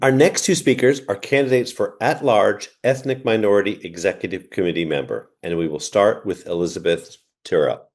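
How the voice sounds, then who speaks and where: A man's voice: lowish voice, moderate pace, a little mellow. A man moderating a meeting, making brief formal announcements to introduce speakers.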